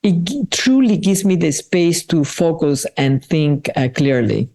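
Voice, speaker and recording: Mellow-voiced woman. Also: sits low. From Peru. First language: Spanish. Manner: thoughtful, earnest, often slows down to weigh her words. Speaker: artist being interviewed. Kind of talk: reflective interview answers.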